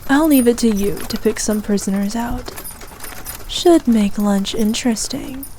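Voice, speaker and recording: A woman playing a haughty, ruthless evil queen who dotes on her favourite knight, speaking in a regal, imperious, measured manner for an ASMR roleplay. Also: a little high, dark tone, slight background noise, natural voice. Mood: scornful, wicked. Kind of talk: ASMR roleplay, scripted character acting.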